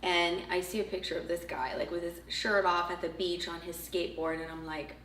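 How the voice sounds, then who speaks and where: A young woman from Montreal with French as her first language tells a story in a relaxed, wry manner. Her voice sits fairly low. She sounds a little away from the mic in a roomy space with faint background hiss. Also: fairly quick, distant mic. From Montreal, Canada. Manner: relaxed, wry, laughing along. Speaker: professional choreographer. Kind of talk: casual storytelling.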